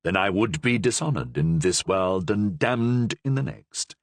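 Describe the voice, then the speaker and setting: A man voicing a stern, commanding cardinal who speaks in a grave, measured way. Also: lowish voice, animated, no echo.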